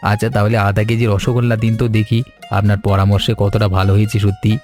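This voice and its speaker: A man playing a curious, courteous young man in a cartoon, with an inquisitive, slightly halting way of talking. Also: fairly low pitch, level tone, a little mellow, close to an everyday voice. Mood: curious, polite. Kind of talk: cartoon voice acting. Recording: music under the voice.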